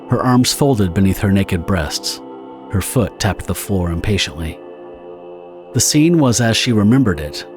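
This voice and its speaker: A man narrating a scripted dramatic story in a measured, theatrical storytelling manner. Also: lowish voice. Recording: music under the narration.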